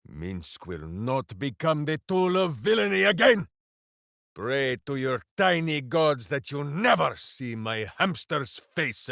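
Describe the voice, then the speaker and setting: An actor playing a boisterous, simple-hearted heroic warrior in a video game, bombastic and speaking of himself in the third person. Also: male voice, on the high side, creaky, a bit bright, no room sound, studio quality, full character voice. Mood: indignant. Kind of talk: video game character voice lines.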